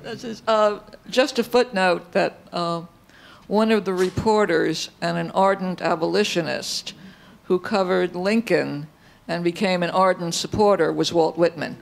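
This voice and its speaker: High, creaky-voiced man. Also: fairly even intonation, a little slow. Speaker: discussion participant. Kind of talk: informal remarks at a public talk. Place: lecture hall.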